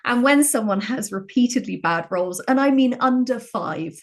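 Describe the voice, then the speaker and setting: A woman chatting as a podcast guest, telling anecdotes in an animated, self-deprecating way. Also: fairly high pitch, moderate pace. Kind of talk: casual anecdotal chat.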